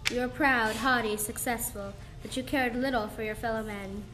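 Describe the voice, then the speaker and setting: A woman's voice: a little high, fairly even intonation, normal speed, natural voice, low-quality recording. A teenage girl playing a stern, wise old woman mystic in a school radio play, speaking in a solemn, formal, portentous way. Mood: solemn, probing. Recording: music under the voice. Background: music.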